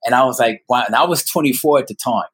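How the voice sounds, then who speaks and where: A man's voice: medium pitch, quick pace, fairly bright tone, husky. Earnest man in his fifties telling his story. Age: 50s. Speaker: guest storyteller. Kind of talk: personal storytelling in a conversational interview.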